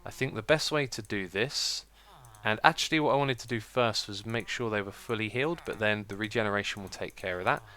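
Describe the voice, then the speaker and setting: A British man giving casual, chatty gameplay commentary as a let's play host, with a slightly croaky voice and a self-mocking manner. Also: lowish voice, a little fast, bright, forceful tone. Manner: chatty, self-mocking, laughs at own slips.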